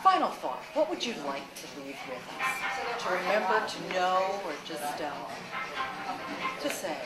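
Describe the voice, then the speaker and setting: A woman's voice: lowish voice, sing-song, a little slow. A woman hosting a casual on-location interview, putting short conversational questions to a guest. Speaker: interviewer, show host. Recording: echoey space with loud music. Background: loud music.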